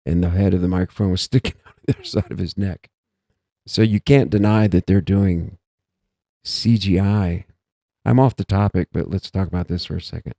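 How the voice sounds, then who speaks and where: A man with a very low, gravelly voice talks in a loose, meandering way, breaking off his own sentences as he thinks aloud. His tone is dark and mellow, and the sound is close and dry. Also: no room sound. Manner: meandering, self-interrupting, musing aloud. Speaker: host. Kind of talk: rambling casual monologue.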